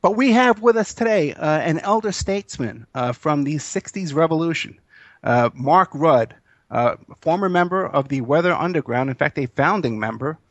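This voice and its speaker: A man's voice: fairly high pitch, expressive, normal speed, dry recording. A man in his fifties hosting a live radio interview show, talking casually with lots of fillers and restarts. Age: 50s. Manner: casual, rambling, many fillers.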